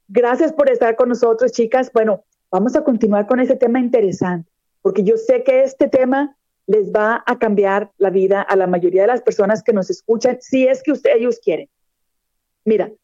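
Mexican woman radio host, middle-aged, encouraging coach, mellow tone, dry muffled recording. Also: on the high side, dry recording. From northern Mexico. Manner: earnest, encouraging, addresses listener directly. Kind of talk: motivational talk on live radio show.

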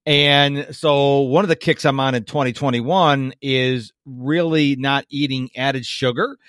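A man's voice: medium pitch, steady tone, measured pace, fairly bright tone, dry recording, studio quality. A man in his fifties hosting an interview, chatty and prone to long rambling questions. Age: 50s. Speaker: podcast host. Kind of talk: casual interview.

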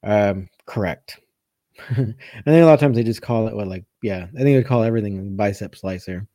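A man's voice: on the low side, normal speed, dark tone, no room sound, studio quality. A man hosting a casual live question-and-answer session as a coach, thinking aloud and often rephrasing as he goes. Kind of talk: casual live chat answering viewer comments.

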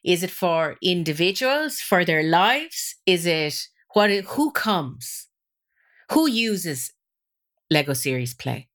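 A woman's voice: lowish voice, big pitch swings, slow, a bit bright. A woman hosting a podcast, asking enthusiastic, rambling questions with plenty of hesitations. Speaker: podcast host. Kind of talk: conversational interview.

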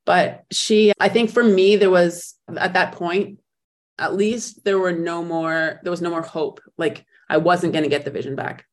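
A woman guest on an interview podcast, recounting her own story in a reflective way, often pausing and restarting as she searches for words. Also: lowish voice, steady tone. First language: not German; speaks in English.

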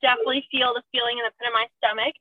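A woman's voice: on the high side, flat delivery, very fast, no room sound. A woman in her thirties, a surgical resident, talking candidly and self-mockingly in a casual chat. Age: 30s. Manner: chatty, self-mocking, often laughing. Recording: tinny phone line.

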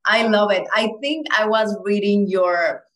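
A woman's voice: medium pitch, unhurried, fairly bright tone, poor audio. A woman from Cuba hosts a podcast interview. She talks with effusive enthusiasm, praising her guest and firing off eager questions. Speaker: podcast host.

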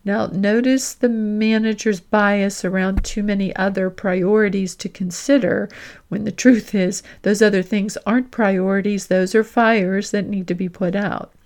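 A woman with a mid-pitched voice speaks as a career coach hosting a lesson. She addresses the listener directly and explains each point with emphasis, in the style of practical instruction. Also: steady tone, unhurried, a little mellow. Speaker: career coach and podcast host. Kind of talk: instructional coaching with role-played example dialogue.

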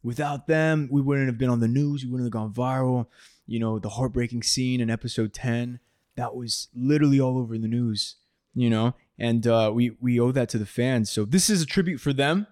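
A man's voice: mid-range voice, moderate pace, a little mellow, no room sound, studio quality. A young man hosting a podcast, talking in an easygoing way with lots of yeahs and laughs. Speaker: podcast host and actor. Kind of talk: casual podcast chat.

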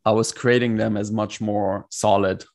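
A man's voice: on the low side, level tone, moderate pace, a little mellow. A man whose first language is German, speaking as a coach in a casual video-call interview, reflective and hesitant, often restarting his sentences. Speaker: coach, guest.

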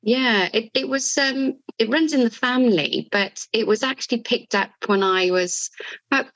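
A woman's voice: a little high, normal speed, a bit bright, dry recording, poor audio. A woman from near Cardiff with a South Welsh accent, talking as a guest in a friendly, chatty way with some hesitation. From Wales, near Cardiff. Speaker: guest, chartered building surveyor. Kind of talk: casual podcast interview.

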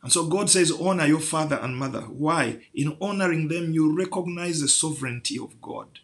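A man's voice: on the high side, normal speed. A man preaching a sermon, building through rhetorical questions to emphatic peaks.

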